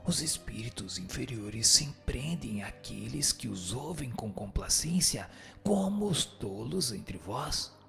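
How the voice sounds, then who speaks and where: A man acting a slow, gravelly, solemn spirit teacher. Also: medium pitch, very animated, sharp tone, soft background music, exaggerated voice. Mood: solemn, instructive. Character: solemn male spirit instructor answering questions. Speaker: answering voice in a radio drama. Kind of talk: dramatized reading of a spiritist text.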